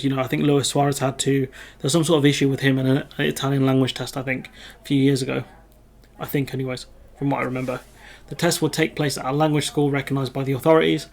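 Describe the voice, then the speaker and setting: A British man hosting his own video channel, reading out a news story and adding rambling, self-correcting remarks full of ums. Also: medium pitch. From United Kingdom. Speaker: video host. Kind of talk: casual commentary reading news.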